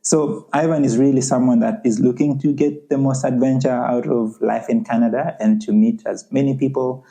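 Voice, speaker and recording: A man's voice: medium pitch, steady tone, normal speed, gravelly, mellow. A young adult man with a Ugandan accent, speaking earnestly as a podcast guest in a conversational interview and often saying "you know".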